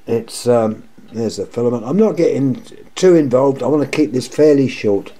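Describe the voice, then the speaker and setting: An older man with a sore, croaky throat, talking casually and in a rambling, repetitive way while showing his lamp collection at home. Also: mid-range voice, animated, moderate pace, dark tone. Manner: rambling, repeats himself, wry complaints. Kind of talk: casual show-and-tell monologue. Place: indoors at home, table of lamps.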